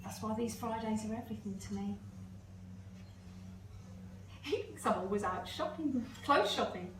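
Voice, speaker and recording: A woman playing a wistful, anxious middle-aged mother in a stage play, confiding in halting reminiscence. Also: fairly high pitch, animated, lightly acted. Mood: resigned, confiding. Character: middle-aged birth mother, wistful, anxious, devoted to her secret daughter. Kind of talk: amateur stage-play acting, naturalistic dialogue. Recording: roomy, distant, noisy home recording.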